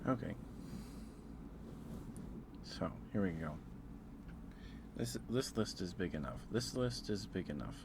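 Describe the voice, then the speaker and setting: A soft-spoken man chatting casually and intimately with viewers on an ASMR livestream. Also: low voice, big pitch swings, slow pace, gravelly, pauses often, background noise.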